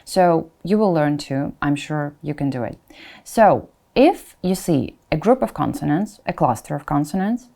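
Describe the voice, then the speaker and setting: A woman with a fairly low, soft-edged voice teaches pronunciation at an unhurried pace. Her intonation moves around a lot as she explains things to learners. She is encouraging and sounds out each example deliberately. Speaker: Russian language teacher. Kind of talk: instructional pronunciation lesson, speaking to learners.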